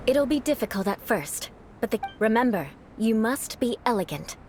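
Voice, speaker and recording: A woman's voice: fairly high pitch, expressive, normal speed, background noise, lightly acted. A woman playing a proud, refined young noblewoman knight in a video game, speaking in a formal, composed and wry manner. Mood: instructive, strict. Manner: formal, poised, aristocratic. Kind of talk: video game character acting.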